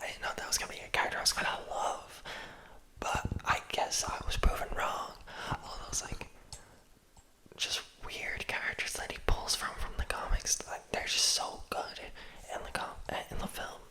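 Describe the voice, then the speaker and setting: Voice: moderate pace, low-quality recording. A young adult ASMR creator in a close-mic whisper, chatting loosely with lots of fillers and often losing the thread. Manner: rambling and loose, heavy on "like" and "um" fillers; often loses the thread of the words. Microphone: close-up mic, near enough to pop.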